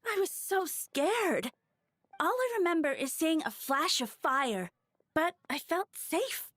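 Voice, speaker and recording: A woman's voice: very high voice, lively intonation, slow, a bit bright, no room sound, clean studio sound, heavily acted. A woman voicing a lovestruck, easily flustered young flower-shop girl in a video game, dreamy and hesitant. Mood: wistful. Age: young adult. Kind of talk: video game character voice acting. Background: game music.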